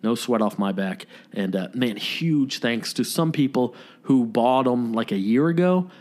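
A man's voice: medium pitch, lively intonation, measured pace, a little mellow. A man hosting a podcast in a casual, folksy, slangy way. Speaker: podcast host. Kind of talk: casual chat.

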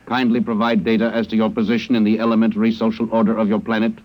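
Male actor as alien invader, fairly low voice, cold, formal, stilted, superior, old-time radio play. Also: level tone, a little mellow, lightly acted, poor audio. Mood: imperious, detached. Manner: stilted, formal, deliberate wording. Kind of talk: classic radio drama acting.

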